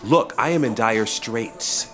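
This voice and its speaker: A man's voice: medium pitch, takes it slowly, fairly bright tone, faint music behind. A man playing a meek, bewildered everyman in an audio comedy drama, polite, hesitant and pleading. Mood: pleading, earnest.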